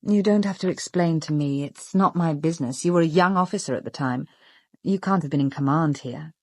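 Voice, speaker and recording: An actress playing a perceptive, composed British woman amateur detective in a radio drama, probing in a measured, deliberate way. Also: low-pitched, darkish tone, no echo. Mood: understanding.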